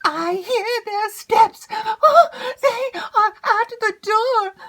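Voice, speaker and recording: A woman's voice: very slow, a bit bright, heavily acted. A woman voicing a frightened older aunt who speaks in a raised, fearful voice. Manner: shrill and fearful. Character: anxious older aunt, a proud lady gripped by fear.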